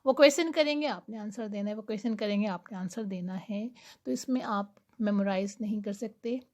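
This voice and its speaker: A young woman teaching IELTS speaking tips, giving her English tips slowly and deliberately between quicker explanations. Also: medium pitch, a little mellow. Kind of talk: explanatory lesson talk.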